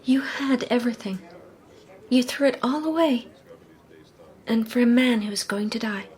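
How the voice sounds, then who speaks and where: A woman's voice: on the high side, normal speed, a little mellow, close to an everyday voice. An actress playing a young, loyal and proud society woman detective in a radio drama, speaking in a refined, heartfelt way. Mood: pained.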